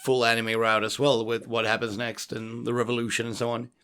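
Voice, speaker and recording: A man's voice: medium pitch, level tone, a little fast, fairly bright tone, clear, resonant tone. Easygoing man joining a chat. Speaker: guest on commentary. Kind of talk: casual movie commentary chat.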